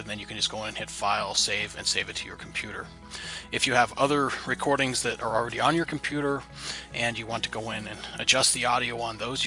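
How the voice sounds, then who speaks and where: A man narrates a how-to screencast in a casual, plain manner. His voice is on the low side with a bright edge, and he walks through things step by step over some music. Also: creaky. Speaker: presenter of a genealogy tips tutorial.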